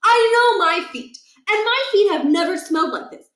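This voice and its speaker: Woman playing a pompous middle-aged principal, fussy, formal, bright voice, echoey room. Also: very high-pitched, sing-song, moderate pace, voice pushed up, heavily acted. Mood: lecturing.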